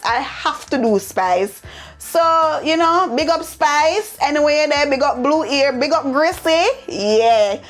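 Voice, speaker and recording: A young woman contestant with a Jamaican accent, talking confidently about her performance. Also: sits high. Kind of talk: casual reflection.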